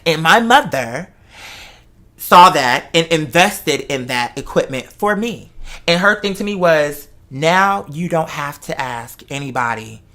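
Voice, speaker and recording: Bright-voiced host in heartfelt casual talk. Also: medium pitch, expressive, a little slow. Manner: emphatic, repeats phrases for effect. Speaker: host of the show; artist who makes videos and music.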